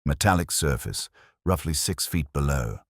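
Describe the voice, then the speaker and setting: A man voicing a coolly precise, commanding detective with a crisp, dry, clipped delivery in a story reading. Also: extremely low pitch, animated, normal speed, dry recording, full character voice.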